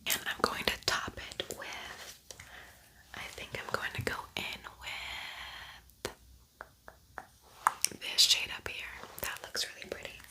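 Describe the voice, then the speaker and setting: A woman speaks entirely in a soft, breathy whisper. She hosts an ASMR-style makeup tutorial as a casual chat. Her manner is gentle and encouraging as she addresses the viewer directly. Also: slow pace, pauses often, poor audio. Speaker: ASMR video creator and makeup tutorial host.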